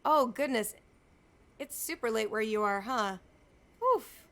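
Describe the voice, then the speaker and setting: A woman's voice: on the high side, measured pace, a bit bright. A woman game streamer giving casual live commentary, informal and chatty with wry asides. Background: game music.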